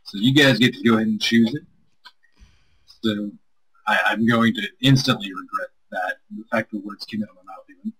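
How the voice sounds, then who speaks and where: Man, low-pitched, husky, casual streamer, rambling, self-deprecating, talking to chat. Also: expressive, fairly quick, a bit bright, low-quality recording. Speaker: streamer, co-host.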